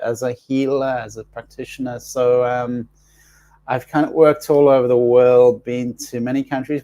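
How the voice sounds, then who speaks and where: A man's voice: medium pitch, dark tone. A middle-aged man from South Africa, congested with allergies, hosting a casual livestream, chatting and reading viewer comments with many fillers and restarts. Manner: rambling, with frequent restarts and fillers. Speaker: livestream host and healer.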